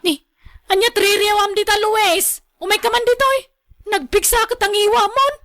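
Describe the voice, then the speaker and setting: A woman's voice: very high-pitched, steady tone, normal speed, fairly bright tone, dry recording, clean studio sound. A woman playing a doubtful, plain-spoken wife in a radio drama, questioning and exclaiming. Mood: surprised. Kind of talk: Ilocano radio drama acting.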